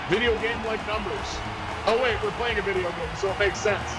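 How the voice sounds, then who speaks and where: Excitable high-voiced male hockey caller. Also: a little fast, loud music behind the voice, low-quality recording. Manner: excitable play-by-play, jumps high on goals. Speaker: play-by-play commentator. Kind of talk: hockey video game commentary.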